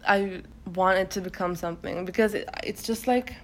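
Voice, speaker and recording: A young woman from Turkey, a native Turkish speaker, talking casually as a podcast guest, often pausing and restarting her sentences. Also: medium pitch, a little hiss or hum. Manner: hesitant, many fillers and self-restarts. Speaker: guest poet.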